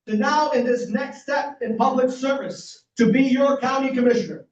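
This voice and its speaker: An energetic man, a doctor on the campaign trail, works the crowd with show-of-hands questions. His voice is strikingly high for a man. It is recorded from far back in a very reverberant room with a dull, muffled sound. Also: normal speed. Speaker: political candidate, physician. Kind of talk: campaign speech at a forum. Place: community meeting room.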